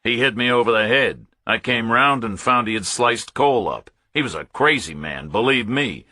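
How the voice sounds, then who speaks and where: A man's voice: mid-range voice, expressive, moderate pace, sharp tone, voice pushed up. A man voicing a cornered Pentagon officer in a thriller audiobook, arrogant and impatient, insisting on his story in a glib, defensive way. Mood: urgent, insistent.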